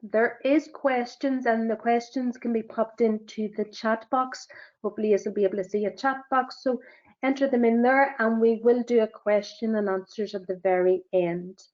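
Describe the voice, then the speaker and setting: Fast-talking Northern Irish woman presenter. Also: fairly high pitch, steady tone, darkish tone. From Northern Ireland. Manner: fast-talking, with frequent fillers. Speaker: sustainability assessor and webinar presenter. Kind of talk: presenting a business webinar. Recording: dull, lo-fi webinar audio.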